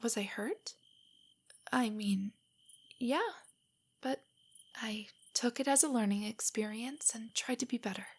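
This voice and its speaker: A woman acting a breathy, shy nerdy girlfriend. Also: on the high side, steady tone, a little slow, long pauses. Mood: insecure, subdued. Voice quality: soft, breathy, often whispery. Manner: hesitant, stammering, rambling. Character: shy, nervous, nerdy young girlfriend, self-doubting and affectionate. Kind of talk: intimate roleplay audio drama.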